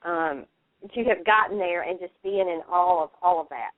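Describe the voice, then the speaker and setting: A woman's voice: on the low side, normal speed, dry recording. A woman interviewing casually on a radio show, asking questions and reacting to the answers. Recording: dry, narrow phone-like radio line.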